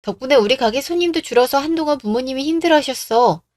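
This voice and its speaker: A woman's voice: sits high, lively intonation, quick pace, darkish tone, no echo, clean studio sound. A woman playing an indignant, firm young woman in a radio drama, scolding bluntly. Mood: scornful. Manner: blunt, scolding, rhetorical questions.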